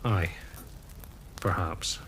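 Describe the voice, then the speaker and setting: An actor playing a weary, disillusioned former army officer in a period audio drama, speaking in a measured, grave way. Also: male voice, a bit bright, slight background noise. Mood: earnest, wistful.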